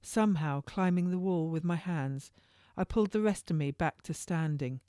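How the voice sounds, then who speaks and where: A woman's voice: fairly low pitch, moderate pace, warm tone, no room sound, studio quality. A woman author reading her own historical novel as first-person narration, in an informal read-aloud way with occasional stumbles.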